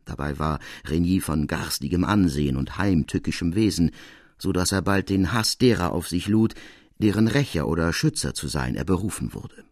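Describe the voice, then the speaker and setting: A man reading a classic literary tale as narrator, in measured, formal storytelling prose. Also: deep voice, animated, a little mellow, no room sound, clean studio sound.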